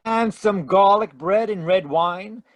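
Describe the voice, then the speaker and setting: A man's voice: sits high, takes it slowly, dry recording. A man playing a wisecracking, flirtatious private detective in 1940s radio style, flippant and wry. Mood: jaunty, wry.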